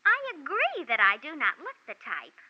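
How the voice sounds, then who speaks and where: A woman's voice: sits high, wildly swooping pitch, moderate pace. A woman playing a brassy maid and dancer in a 1940s radio drama, putting on stilted, refined diction mixed with slangy wisecracks. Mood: wisecracking. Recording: dull vintage 1940s radio recording.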